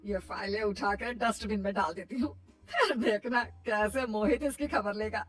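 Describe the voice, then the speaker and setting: A woman's voice: medium pitch, moderate pace, soft background music, lightly acted. A woman voicing a scheming older mother-in-law in a cartoon moral story, scolding and scornful. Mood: gloating. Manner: scolding, accusatory.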